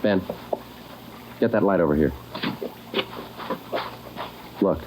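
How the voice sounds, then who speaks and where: An actor playing a stoic police detective in a 1940s radio crime drama, speaking in terse, clipped, deadpan lines. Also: male voice, sits low, normal speed, background noise, natural voice. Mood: businesslike. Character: stoic male police detective narrating a manhunt. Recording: old radio recording with loud music behind.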